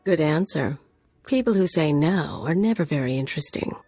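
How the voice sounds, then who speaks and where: Sly female underworld game character. Low register, teasing, flirtatious, soft music underneath. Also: animated, moderate pace, breathy edge, no echo, heavily acted. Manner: teasing, provocative. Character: sly, flirtatious, self-assured woman of the criminal underworld. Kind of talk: video game voice acting.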